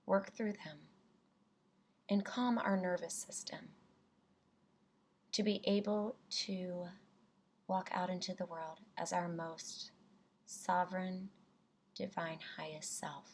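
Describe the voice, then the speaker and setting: Slow, breathy woman guiding meditation. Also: lowish voice, steady tone. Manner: slow, deliberate, soothing delivery with pauses. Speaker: meditation guide. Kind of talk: guided meditation narration.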